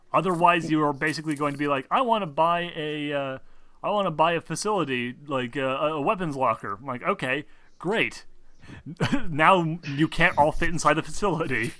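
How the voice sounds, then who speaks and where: A man's voice: fairly high pitch, bright, forceful tone, dry recording. A man acting as game master, explaining options casually with sweary, exasperated asides to his players.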